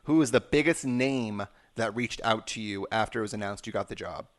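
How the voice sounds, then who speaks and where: A man's voice: on the low side, fairly quick. A man hosting a conversational sports podcast interview, effusive and enthusiastic in how he talks. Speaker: podcast host.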